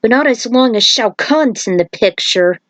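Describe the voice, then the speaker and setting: A woman's voice: on the high side, animated, normal speed, a bit bright, no room sound, voice pushed up. A woman voicing a defiant male cop hero in a fan fiction story reading. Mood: grateful.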